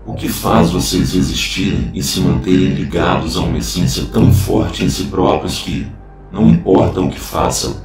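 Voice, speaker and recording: A man acts out a cold digital entity from a sci-fi audio drama. The voice is very low and echoing, with formal, deliberate phrasing and a menacing detachment. Loud music plays underneath. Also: steady tone, recorded from a distance. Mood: cold, probing. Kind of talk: science-fiction audio drama acting.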